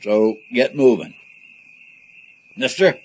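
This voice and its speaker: A mid-pitched male voice from an actor: a patrol policeman in a radio play. He is gruff and curt, with dry sarcasm and firm authority. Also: slow, a little mellow, close to an everyday voice. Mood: stern, sardonic. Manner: dry, commanding. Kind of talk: radio drama acting.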